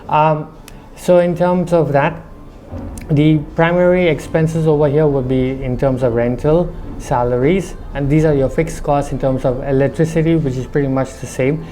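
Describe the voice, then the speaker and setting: Mellow-voiced restaurant owner, explaining. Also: male voice, mid-range voice. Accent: slight Indian-English with foreign lilt. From India. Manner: explanatory, conversational, reflective. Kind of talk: interview answers.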